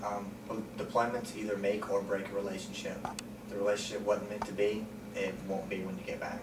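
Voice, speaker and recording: Calm young man interviewee, low even delivery, recorded far off with noise. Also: a little fast. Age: 20s. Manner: calm, plain-spoken, frequent ums. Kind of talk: sit-down interview. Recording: roomy, distant mic, noisy.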